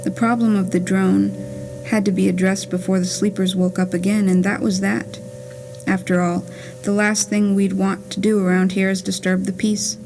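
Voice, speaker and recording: A woman reading a scripted poetic monologue for a puppet play as a wry, whimsical letter writer from beyond the grave, in a measured, deliberate way. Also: medium pitch, flat delivery, darkish tone, slight background noise, close to an everyday voice, rough recording. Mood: wry, wistful. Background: music.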